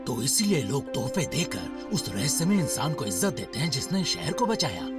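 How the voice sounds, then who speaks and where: A man's voice: mid-range voice, sing-song, moderate pace. A man voicing a blunt, grumbling and puzzled rescue robot in a dubbed cartoon. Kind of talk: animated series dub. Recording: loud music behind the voice. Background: music.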